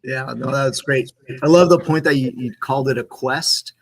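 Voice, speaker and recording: A man co-hosting a casual interview, responding in a measured, affirming way. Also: mid-range voice. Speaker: podcast co-host.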